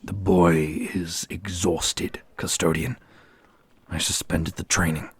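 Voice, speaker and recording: A man playing a brooding, scornful supernatural warrior in an ASMR roleplay, speaking formally in a husky, breathy voice. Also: sits low, fairly even intonation, takes it slowly, heavily acted. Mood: calm, tender.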